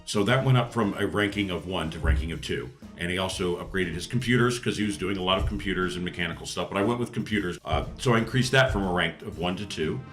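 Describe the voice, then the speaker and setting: A man's voice: low voice, quick pace, few pauses, fairly bright tone, faint music behind. A man chatting casually as a player on a tabletop roleplaying podcast. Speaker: player on an actual-play podcast. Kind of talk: casual tabletop game chat.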